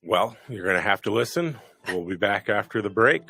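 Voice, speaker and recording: A man's voice: fairly low pitch, expressive, moderate pace, gravelly, bright, forceful tone, breathy edge, dry recording. A man co-hosting a studio podcast, laid-back, with brief interjections and a sponsor read. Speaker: podcast co-host. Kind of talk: casual chat and sponsor read.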